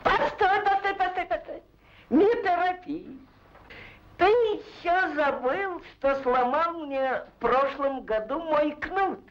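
A man's voice: very high-pitched, exaggerated voice. An actor playing a sly, greedy, fat rich herd owner in an old cartoon, wheedling and drawing out his haggling. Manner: wheedling, drawn-out, crafty haggling. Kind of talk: old Soviet cartoon acting. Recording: dull, muffled old film soundtrack.

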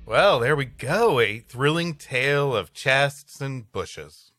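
A man's voice: medium pitch, expressive, takes it slowly, pushed and bright, no echo, studio quality. A man co-hosting a comedy podcast, bantering and reading stories. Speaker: podcast co-host.

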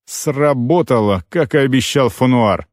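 A man narrating scripted first-person fiction as an audiobook reader, expressive, with deliberate phrase breaks. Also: mid-range voice, no room sound, studio quality.

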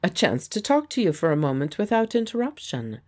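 A woman reading the part of a pleasant, confident gentleman painter who speaks with quiet authority. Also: deep voice, animated, normal speed. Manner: pleasant, firm, persuasive. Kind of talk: audiobook character voice.

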